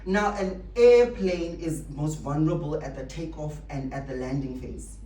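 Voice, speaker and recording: Motivational speaker, mid pitch, roomy echo, mic a bit far off, repeats points for emphasis. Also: normal speed, mellow. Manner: emphatic, rhetorical questions and repetition. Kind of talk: motivational speech to staff. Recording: echoey room, distant mic, faint noise.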